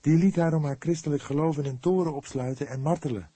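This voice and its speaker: A man voicing an earnest, devout young woman in an audiobook, speaking patiently and with solemn emphasis, quick to defend herself. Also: a little high, warm tone, breathy edge, dry recording, voice pushed up. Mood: earnest, explaining.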